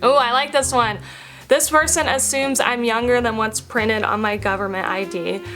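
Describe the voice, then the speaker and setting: A candid woman in her thirties talks straight to camera in a casual, chatty way, restarting her sentences as she goes. Her voice sits a little high for a woman. Faint music plays underneath. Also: steady tone, sharp tone. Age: 30s. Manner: frank and chatty, with quick restarts. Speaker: vlogger answering fan assumptions.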